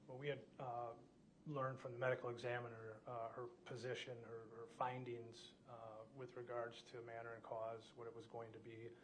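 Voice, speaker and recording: Male American detective testifying, hesitant and formal, little pitch movement, slight noise in the room. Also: flat delivery, normal speed, poor audio. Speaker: police detective testifying as witness. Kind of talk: courtroom testimony. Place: courtroom.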